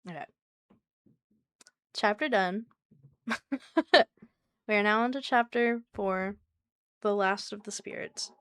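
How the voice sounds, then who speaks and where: Soft-voiced woman streamer chatting. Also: medium pitch, slow pace, pauses often, smooth, no room sound, studio quality. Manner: soft, soothing voice; chatty and giggly, talks to chat by name. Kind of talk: casual live-stream chat with viewers.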